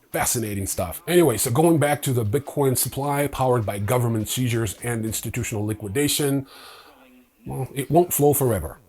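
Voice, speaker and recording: A man hosting a news show with opinionated commentary, informal and sardonic in manner, with a husky voice. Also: medium pitch, a little mellow. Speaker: host of a crypto news show.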